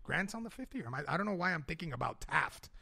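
A man hosting a crude, comedic radio talk show, arguing loudly with a profane, blustering manner that slips into slang. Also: a little high, sing-song, quick pace, gravelly, husky, dry recording, studio quality.

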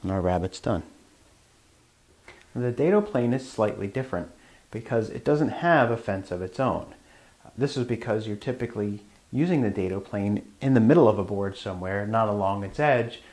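A man hosting a woodworking show, explaining plainly as he works with hand tools in his shop. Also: fairly low pitch. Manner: plain explanatory, talks while working. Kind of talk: hands-on tool demonstration.

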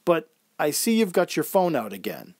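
Man acting a firm, higher-pitched male psychiatrist. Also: big pitch swings, normal speed, darkish tone, slightly rough, dry recording, studio quality, full character voice. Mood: firm, irritated. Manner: firm, clipped questioning. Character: stern, probing male psychiatrist, outwardly polite.

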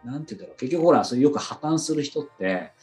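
A man hosts a casual podcast conversation, explaining his thinking in loose, rambling runs. His pitch swings widely and he talks quickly. Music plays underneath his voice. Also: animated, darkish tone. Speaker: podcast interviewer and host. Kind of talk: casual chat. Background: background music.

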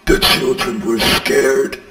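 A man voicing a vengeful haunted animatronic creature for horror game voice lines, menacing and bitter in a drawn-out delivery. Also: medium pitch, fairly even intonation, sharp tone. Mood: cold, accusing. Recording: echoey long reverb, distant, music behind.

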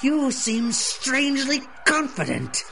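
An actor playing a pompous, menacing villain who lords over child thieves in a 1930s-style radio drama, speaking with mock courtesy. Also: male voice, very high-pitched, sing-song, slow pace, creaky, sharp tone, a little hiss or hum, heavily acted. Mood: suspicious. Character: theatrical villain Rat Lord ruling child thieves from a sewer.

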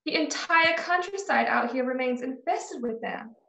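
A prim and callous Old West townswoman, acted by a woman in a radio drama. She has a high, complaining voice, heard in an echoey room over a video call. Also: animated, moderate pace, creaky, pushed and bright, slight background noise, full character voice. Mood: disdainful. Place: indoors at home, video call.

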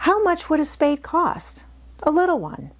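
A woman's voice: sits high, wildly swooping pitch, a little slow, pitched above the performer's own voice, exaggerated voice. A woman voicing an eager, earnest little girl in a storybook reading.

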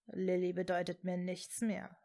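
A woman voicing a man deeply in love, hushed and pleading, in a fantasy audiobook. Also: monotone, unhurried. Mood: tender.

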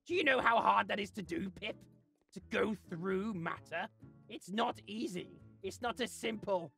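A performer voicing an arrogant, exasperated creature who thinks itself a genius, raspy and theatrical, in a comic gameplay series. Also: medium pitch, measured pace, a bit bright, soft background music. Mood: smug. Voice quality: raspy, gravelly. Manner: theatrical, haughty, melodramatic rants. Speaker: voiced character in a gaming series. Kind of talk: voice-acted gameplay comedy.

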